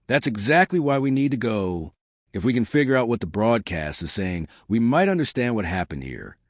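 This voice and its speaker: A man's voice: mid-range voice, very animated, moderate pace, no echo, clean studio sound. A man voicing a brave, adventurous teenage girl in a horror storybook reading, speaking eagerly and with determination.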